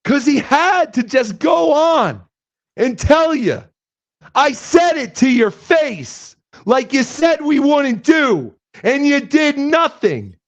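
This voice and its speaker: A man voicing a brash, boastful online troll who calls himself Daddy, hoarse from shouting. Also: extremely high pitch, very animated, heavily acted. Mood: taunting. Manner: boastful, taunting, drawn-out delivery. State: hoarse, losing his voice. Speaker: guest host. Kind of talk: live stream chat. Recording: dull lo-fi stream audio.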